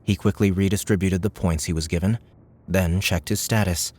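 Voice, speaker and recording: Male narrator for a sci-fi audiobook: deep voice, scripted storytelling, measured dramatic delivery. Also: fairly even intonation, darkish tone.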